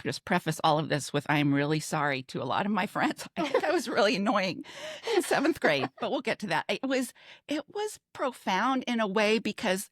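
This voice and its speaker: A woman's voice: medium pitch, very animated, brisk, dry recording, studio quality. A woman in her fifties, a podcast co-host, talking in a casual conversational style.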